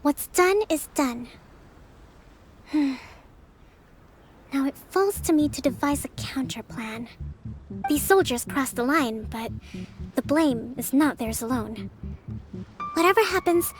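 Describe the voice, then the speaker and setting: An actress playing a gentle, composed young female leader in a video game, with measured, formal, articulate speech. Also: sits high. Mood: resolute, reassuring. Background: faint game background music.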